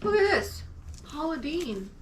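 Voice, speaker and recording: A woman's voice: high voice, very animated, unhurried. A woman bantering casually and playfully in short interjections. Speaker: co-host, online reseller. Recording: noisy room, distant from mic, clicks.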